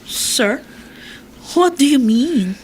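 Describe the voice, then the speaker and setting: A woman's voice: high voice, lively intonation, extremely slow, a little hiss or hum, full character voice, poor audio. A Filipino woman acting in a radio drama as a curt, career-driven wife who defers to her boss. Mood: coy, amused.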